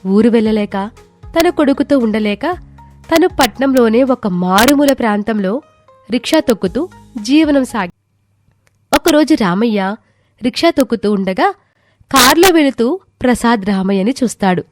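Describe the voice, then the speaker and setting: A woman's voice: a little high, animated, normal speed, long pauses, soft background music. A woman storyteller reading a scripted moral tale as narration.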